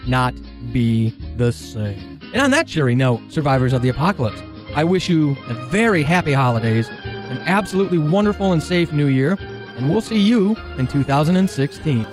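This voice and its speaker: A man's voice: on the high side, very animated, normal speed. A man hosting a podcast, reading a scripted introduction to his listeners. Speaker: podcast host. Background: faint music.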